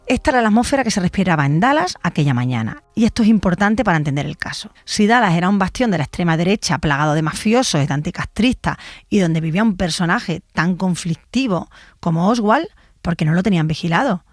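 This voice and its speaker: A woman's voice: fairly low pitch, lively intonation, fast talker, dry recording, studio quality. A woman giving scripted documentary narration as a podcast journalist.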